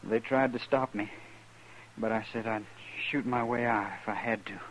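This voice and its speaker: An actor playing a regretful reformed outlaw, weak from a wound, with an American Western accent, in a radio western. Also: male voice, lowish voice, fairly even intonation, normal speed, lightly acted. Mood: weary, regretful. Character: dying, regretful reformed male outlaw, plain-spoken. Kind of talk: old-time radio western acting. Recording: faintly noisy vintage radio recording.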